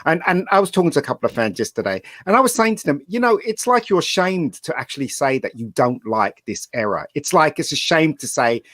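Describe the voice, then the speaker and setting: Male podcast panelist, lively swooping delivery, dry sound, emphatic and opinionated. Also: fairly high pitch, brisk, hardly any pauses, no echo. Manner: emphatic, repeats phrases for stress. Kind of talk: casual opinionated fan chat.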